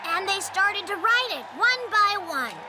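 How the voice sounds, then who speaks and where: A woman voicing a cheeky, eager and impatient young boy in an animated children's series, with flippant, boastful delivery. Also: very high voice, unhurried, heavy background noise, heavily acted. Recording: music behind the voice.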